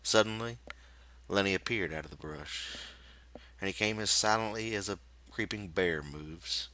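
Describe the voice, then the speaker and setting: A man reading literary fiction aloud as an audiobook narrator, with measured, deliberate phrasing. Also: low voice, sharp tone. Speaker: audiobook reader.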